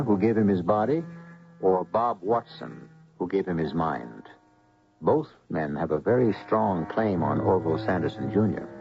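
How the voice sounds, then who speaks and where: A man hosting a radio mystery drama, narrating in a theatrical, deliberate way. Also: low voice, gravelly. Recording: muffled old radio broadcast. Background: music.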